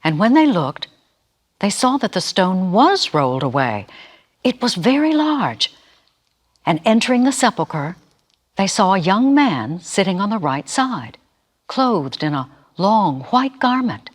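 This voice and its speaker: A narrator giving an expressive, dramatic reading of a scripture story. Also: mid-range voice, measured pace. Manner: expressive, acts out quoted speakers.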